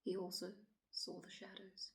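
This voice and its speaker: An actress plays a shaken city woman giving her account to the police in a stage monologue. Her lowish voice is halting and often hushed to a breathy near-whisper, pleading to be believed. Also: monotone. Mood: haunted, fearful.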